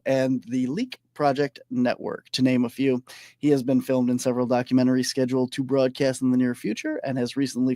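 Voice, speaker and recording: Man hosting a podcast, strained hoarse voice, eager and halting, dry mic. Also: medium pitch, dry recording. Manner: energetic, halting with fillers. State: voice going hoarse. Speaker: podcast host. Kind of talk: casual interview.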